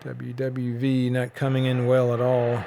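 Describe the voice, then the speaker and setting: A man giving casual, unscripted commentary as a radio hobbyist, low-key and halting as he thinks aloud. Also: completely flat delivery, creaky, noisy background. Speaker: shortwave radio hobbyist presenting. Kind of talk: casual unscripted commentary while tuning and showing gear.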